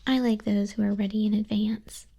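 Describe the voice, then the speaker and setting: A woman playing an exacting female griffin flying instructor in a hushed, breathy, measured ASMR roleplay, close to a binaural microphone. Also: medium pitch, little pitch movement, noisy background, natural voice. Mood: exacting, composed. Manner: measured, unhurried, strict examiner tone. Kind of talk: soft-spoken, whispery ASMR roleplay.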